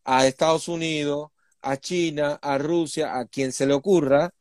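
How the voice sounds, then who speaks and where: A man's voice: on the high side, fairly even intonation, measured pace, darkish tone, no room sound, clean studio sound. A Cuban man speaking as an interview guest, explaining things in an emphatic, indignant conversational way. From Cuba. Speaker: interview guest, human rights activist.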